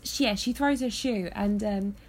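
A woman hosting a podcast, chatting casually from her bed with a hoarse voice from illness. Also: medium pitch, normal speed, slight background noise. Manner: chatty, laughs often. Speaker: podcast host. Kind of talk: casual chat. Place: in bed at home.

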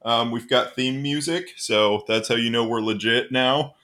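Male co-host, average pitch, easygoing conversational podcast delivery. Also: a little slow, pushed and bright. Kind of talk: casual podcast chat.

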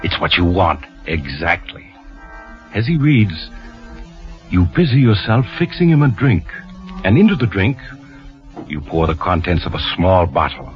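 An actor playing an ominous storyteller, narrating an old-time radio crime drama. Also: male voice, low-pitched, very animated, unhurried, pauses often. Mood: measured, ominous. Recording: dull old radio recording, music underneath.

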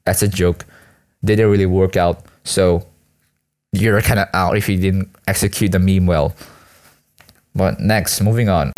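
Low-voiced young Malaysian student, rambling casually. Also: male voice, darkish tone. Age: teens to young adult, school student. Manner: hesitant, self-deprecating, slangy. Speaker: student vlogger and aspiring actor.

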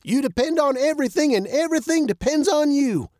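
A narrator putting on an emphatic, high-pitched preachy old grandfather. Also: animated, fairly quick, a little mellow, no room sound, studio quality. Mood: indignant, preachy. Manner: emphatic, rhetorical spiel. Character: offended, preachy old male mountain wanderer, a grandfather.

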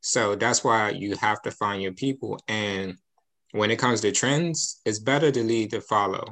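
A man co-hosting a podcast, talking in a measured, advice-giving way. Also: lowish voice, fairly bright tone. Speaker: podcast co-host. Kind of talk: casual podcast chat.

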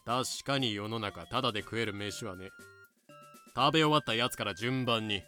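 Man acting a rough-talking plantation overseer. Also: fairly low pitch, moderate pace, bright, forceful tone, soft background music. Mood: dry. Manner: rough, blunt masculine speech. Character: rough plantation overseer, gruffly caring, deferential to his mistress. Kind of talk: fan audio drama acting.

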